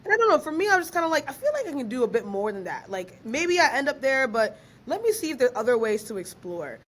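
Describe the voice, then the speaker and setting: Lively, slangy young woman guest. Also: high-pitched, fast talker. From Ghana, raised in Maryland. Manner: lively, slangy, laughs easily. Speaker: guest, author and researcher. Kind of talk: casual podcast interview.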